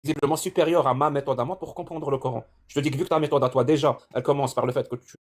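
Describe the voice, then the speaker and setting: A man's voice: mid-range voice, steady tone, very fast. A man who grew up speaking Arabic, hosting a live online debate and pressing his opponent with insistent, probing questions. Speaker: debate host.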